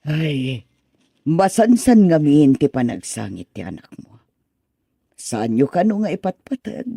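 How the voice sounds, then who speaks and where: A woman playing a gentle, concerned older aunt in a radio drama, consoling and softly chiding. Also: deep voice, very animated, unhurried, creaky, husky, dry recording, clean studio sound, exaggerated voice. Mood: gently reproachful. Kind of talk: Filipino radio drama acting.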